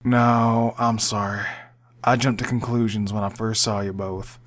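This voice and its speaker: A man's voice: lowish voice, level tone, a little slow, close to an everyday voice. Man acting understated, guarded young man. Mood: guarded, sincere. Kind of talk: amateur audio drama acting.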